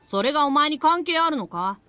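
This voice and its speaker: A brash, arrogant schoolboy from a visual novel. The delivery is very fast and animated, rough and curt, in a bright, forceful boyish voice. Music plays behind. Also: female voice, high voice, no echo. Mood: sullen, suspicious. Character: cocky, rude, short schoolboy, bento shop owner's son. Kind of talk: Japanese visual novel voice acting. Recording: game audio with music behind.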